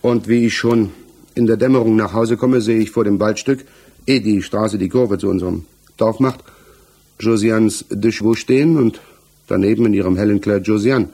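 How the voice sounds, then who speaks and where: An actor in a 1960s German radio play, playing an accused married village mechanic who answers earnestly, evasively and haltingly. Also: male voice, fairly low pitch, mellow, husky. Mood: hesitant, explaining. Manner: hesitant, halting, defensive.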